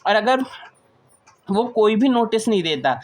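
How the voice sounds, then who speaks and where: A woman's voice: medium pitch, lively intonation. Indian woman teaching, explanatory lecture style. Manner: switches between slow English reading and Hindi explanation, repeats key points. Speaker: teacher of company law. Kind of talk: explanatory lecture, reading provisions aloud then explaining.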